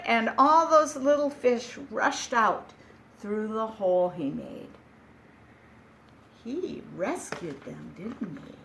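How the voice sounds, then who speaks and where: A woman reading a children's picture book aloud, gentle and expressive, with dramatic pauses. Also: high-pitched, a little hiss or hum.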